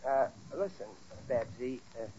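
A man's voice: medium pitch, lively intonation, a little slow, mellow, lightly acted. An actor playing a stubborn, easily flustered working-class family man, in a 1940s radio sitcom. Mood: indignant. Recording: dull vintage radio recording.

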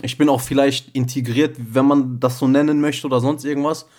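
A man's voice: mid-range voice, little pitch movement, brisk, darkish tone. A young man co-hosting a casual podcast chat, talking in street slang and often asking if the other man gets what he means. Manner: slangy, keeps calling the other man bro and checking he follows. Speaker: podcast co-host.

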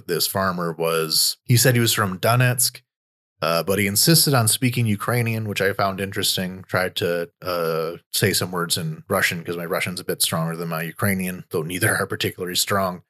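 A man's voice: low voice, a bit bright. A man hosting a podcast, talking through war news in a loose, conversational way and often restarting his sentences. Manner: loose, thinking aloud with frequent restarts. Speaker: podcast host and war reporter.

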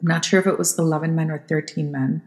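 A woman's voice: low voice, steady tone, quick pace, darkish tone. A middle-aged woman from Costa Rica, a guest in a casual interview, talking in a chatty, rambling way with many restarts. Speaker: guest, venture fund founder.

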